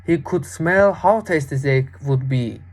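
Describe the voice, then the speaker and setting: A young adult woman telling a fairy tale as a student storyteller, in a deliberate, expressive way. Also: extremely low pitch.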